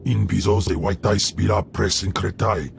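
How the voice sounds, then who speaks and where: Gruff alien monster, deep guttural voice, made-up language, brutish, video game creature. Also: takes it slowly, a little hiss or hum, full character voice.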